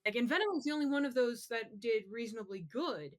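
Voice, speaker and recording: A woman's voice: fairly high pitch, fast. A woman co-hosting a podcast in casual, opinionated chat, talking emphatically and swearing freely. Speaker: podcast co-host. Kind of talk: casual chat, opinionated pop culture commentary.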